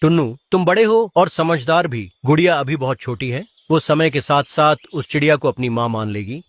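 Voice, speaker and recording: Man as earnest higher-voiced male pigeon. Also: animated, normal speed, no room sound, natural voice. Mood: advising. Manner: earnest, advising. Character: sensible, well-meaning adult male pigeon. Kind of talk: animated story dialogue.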